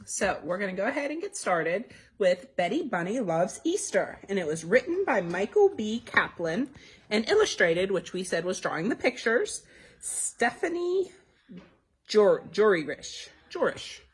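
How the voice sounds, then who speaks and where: A woman's voice: mid-range voice, very animated, a little slow, husky, rough recording. A woman reading a children's story aloud as a library storytime host, expressively, with asides and questions to young listeners.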